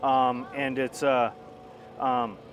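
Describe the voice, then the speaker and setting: A man's voice: mid-range voice, level tone, extremely slow, muffled recording, slight background noise. A man in his thirties, a company representative explaining a product informally in an outdoor interview on a clip-on mic. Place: outdoors at a busy expo ground. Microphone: wireless clip-on mic.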